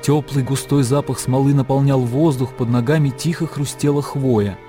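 An actor as the narrator of a classic literary radio drama. He reads in a mid-pitched voice with a measured, lyrical delivery. Music plays clearly beneath him. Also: steady tone, warm tone, lightly acted. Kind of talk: scripted narration in a radio play.

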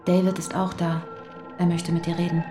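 An actress playing a prisoner's strained, devoted wife in a radio drama, talking in a pleading, affectionate way. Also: female voice, lowish voice, little pitch movement, normal speed, dark tone, faint music behind, natural voice. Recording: roomy, echoey and set back from the mic.

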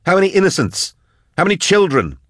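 Exasperated, high-strung, sarcastic scholar, pitched way up. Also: male voice, sing-song, a little fast, dry recording, clean studio sound, full character voice. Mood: furious. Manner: yelling, sarcastic, challenging. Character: outspoken, exasperated, sarcastic male tech scholar, hotly indignant.

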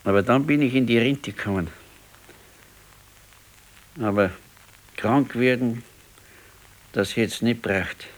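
Man acting old retired husband, Bavarian accent, ailing, weary, resigned, halting delivery, mid-pitched voice, naturalistic radio drama. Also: natural voice, rough recording. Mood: wistful. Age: older. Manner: resigned, halting, plain-spoken.

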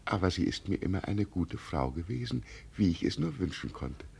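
An actor playing a gentle, deluded old peasant who believes he is an emperor, solemn and dreamy in a 1950 radio play. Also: deep voice, big pitch swings, moderate pace, creaky, husky, full character voice. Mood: wistful. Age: older. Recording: vintage 1950 radio recording.

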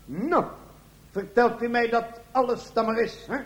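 Man doing a high-voiced, bumbling police inspector. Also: unhurried. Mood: flustered. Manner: exclaiming, questioning. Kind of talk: comic radio play acting. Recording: echoey room, faint noise.

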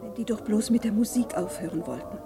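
A woman's voice: medium pitch, a little fast, music in the background, close to an everyday voice. An actress playing an anxious, despairing middle-aged mother in a German radio drama, fretful and bitter. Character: anxious, despairing mother keeping watch at night.

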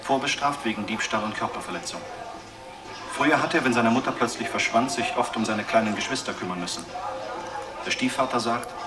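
A man's voice: mid-range voice, fairly quick, natural voice. A man playing a matter-of-fact crime documentary narrator in a radio drama, with clipped, sober scripted delivery. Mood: sober, factual. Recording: distant voice under loud music.

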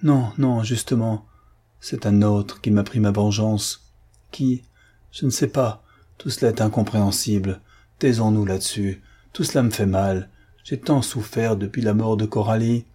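A man voicing a tormented, half-mad old villain in a dramatic audiobook, halting and pleading, with pauses and repetitions. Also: lowish voice, fairly even intonation, dark tone, breathy edge. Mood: sorrowful, pleading. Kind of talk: dramatic audiobook character reading.

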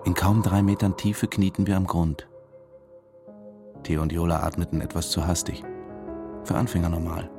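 An actor playing a detached, controlled diving instructor who narrates reflectively in a radio drama. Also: male voice, deep voice, flat delivery, moderate pace, long pauses, music in the background. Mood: matter-of-fact.

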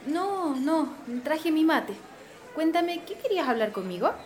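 Actress as high-voiced young Chilean activist. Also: female voice, big pitch swings, unhurried. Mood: casual, guarded. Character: young committed Chilean woman activist, guarded but frank. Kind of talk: natural radio drama dialogue.

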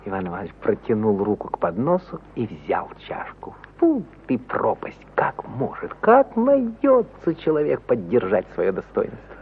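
An actor narrating as the author, a wry, expressive storyteller with a husky voice and declamatory, mock-admiring delivery, in 1950s radio style. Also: male voice, lowish voice, moderate pace, slight background noise, full character voice. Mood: wry narration. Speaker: author-narrator in an audio play. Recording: dull, muffled 1950 radio recording.

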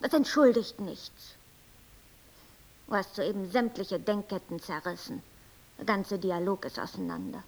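A woman playing an exacting, brooding angel who directs invented characters in a radio play, with a creaky voice and deliberate, didactic delivery. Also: mid-range voice, rough recording. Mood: indignant.

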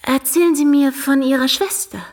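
A woman's voice: high voice, fairly even intonation, moderate pace, darkish tone. An actress playing a shy, curious young provincial lady in a radio drama, hesitant and earnest. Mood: wondering. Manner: hesitant, wondering, simple direct questions.

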